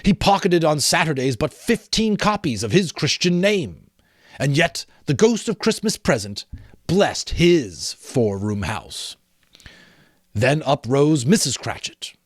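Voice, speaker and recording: A man narrating a storybook dramatically, with an expressive, theatrical manner. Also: on the high side, dry recording, studio quality. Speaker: amateur audiobook reader.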